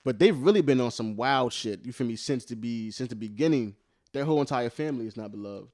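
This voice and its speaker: A man's voice: medium pitch, fast talker, darkish tone. Laid-back man in his late twenties. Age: late 20s. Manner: laid-back, laughing often, says "bro" constantly. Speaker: podcast co-host. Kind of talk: casual chat.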